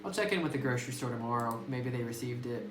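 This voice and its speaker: A man's voice: mid-range voice, fast, natural voice. A man reading as a lonely butterfly collector. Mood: wistful. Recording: roomy distant phone recording with music.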